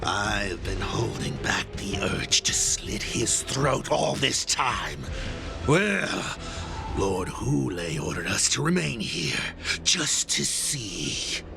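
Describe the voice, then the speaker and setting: Gravelly, savage wolf-beast henchman. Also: lowish voice, very animated, exaggerated voice. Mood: gloating menace. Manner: taunting, drawn-out delivery. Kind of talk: video game cutscene acting. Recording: loud music behind the voice.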